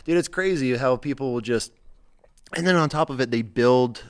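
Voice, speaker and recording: Blunt, animated male podcast host. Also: mid-range voice, moderate pace, clear, resonant tone. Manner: blunt, animated storyteller who swears freely. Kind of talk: casual chat. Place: podcast studio.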